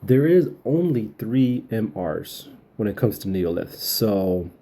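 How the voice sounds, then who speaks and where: Low, husky-voiced man, mellow gaming commentary. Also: sing-song, slow. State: getting over a cold, occasional cough. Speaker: gaming channel host. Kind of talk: casual game update commentary.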